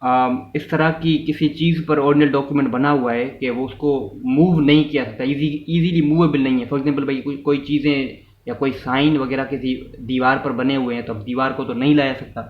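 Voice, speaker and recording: A man's voice: mid-range voice, fairly even intonation, moderate pace, hardly any pauses. A man with a Pakistani accent gives an informal explanatory law lesson, repeating and rephrasing his points and slipping into English legal terms. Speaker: law lecturer. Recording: echoey room, muffled, faint noise.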